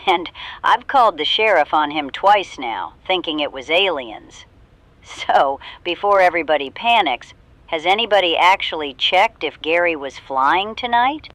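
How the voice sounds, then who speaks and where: A woman's voice: fairly low pitch, lively intonation, unhurried, a bit bright, no room sound, rough recording. A woman playing a friendly, fussy small-town neighbor, chatty and rambling, calling into a radio drama show. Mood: earnest, fussy.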